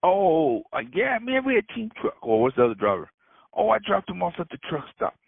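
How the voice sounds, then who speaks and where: Animated cursing man on a phone line. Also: high-pitched, fairly quick, gravelly, breathy edge, dry recording. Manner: animated, swears a lot, laughs often. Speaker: freight broker. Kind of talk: heated casual phone talk. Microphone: phone call.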